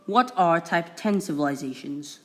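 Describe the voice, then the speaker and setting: A man's voice: on the high side, very noisy. A young man from Indonesia, an English speaker, casually explaining things he reads off a wiki, stumbling and restarting often. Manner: halting, many restarts and apologies. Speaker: video creator. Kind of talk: casual screen-recorded explainer reading from a wiki.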